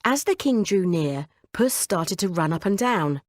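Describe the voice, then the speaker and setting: A woman's voice: lowish voice, lively intonation, a little slow, no room sound, clean studio sound. A woman narrating a scripted bedtime story for children as the storyteller.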